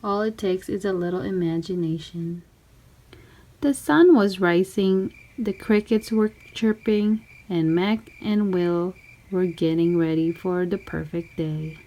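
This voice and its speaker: A woman's voice: lowish voice, measured pace, mellow, rough recording. A woman reading a children's story aloud, expressively acting out characters and sound words. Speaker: storybook reader.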